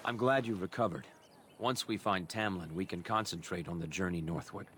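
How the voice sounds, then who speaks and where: Low-voiced, solemn elven craftsman. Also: a little hiss or hum. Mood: kindly. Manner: measured, solemn, formal storytelling. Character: proud, kindly elven master craftsman of a woodland clan. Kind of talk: fantasy game voice acting. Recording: loud music behind the voice. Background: game music.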